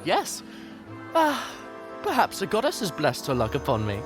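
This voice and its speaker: A man's voice: high-pitched, wildly swooping pitch, moderate pace, music in the background. An actor in an audio drama playing a playful, flamboyant adventurer who chats and teases his friends theatrically. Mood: playful, boastful. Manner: teasing, flamboyant, chatty. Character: playful, theatrical, teasing male adventurer and mentor.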